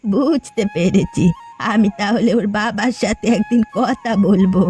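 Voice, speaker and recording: A woman's voice: medium pitch, a little slow, dark tone, soft background music, natural voice. A woman voicing a doting, teasing elderly grandmother in a cartoon. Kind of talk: cartoon voice acting.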